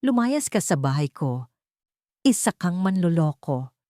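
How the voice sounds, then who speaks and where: A woman's voice: fairly low pitch, very animated, measured pace, warm tone, dry recording. A bitter, commanding rich man voiced by a female narrator in a romance audio drama. He speaks with menace and accusation, and the sound is dry and close. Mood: cold fury.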